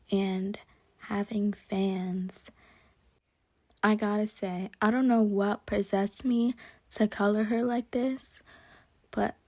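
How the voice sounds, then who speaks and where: A woman giving casual, rambling commentary while she draws, soft and quiet, self-deprecating and prone to hesitant restarts. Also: medium pitch, no echo. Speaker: digital artist and channel creator. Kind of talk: casual commentary over a speedpaint.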